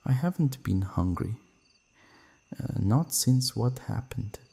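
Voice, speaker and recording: An actor playing a guilt-ridden, grieving vampire in an audio roleplay, speaking in a halting, sorrowful, confessional way. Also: male voice, medium pitch, animated, gravelly, mellow, husky, heavily acted. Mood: sick, weary. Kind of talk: dramatic audio roleplay acting.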